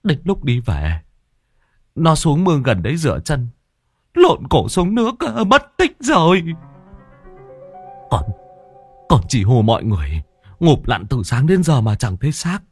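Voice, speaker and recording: A frantic village man, acted out by a male storyteller, begging through tears. The voice sits high and swings widely, close and dry in the manner of an audio tale. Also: huge pitch swings, unhurried, pauses often, husky, dry recording, faint music behind, full character voice. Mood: tearful pleading. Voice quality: high, tearful voice. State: crying.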